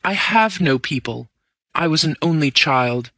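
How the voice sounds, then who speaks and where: A man voicing a desperate young gentleman who has lost everything, pleading and anguished. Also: on the high side, drawn-out words, creaky, dry recording, studio quality, full character voice.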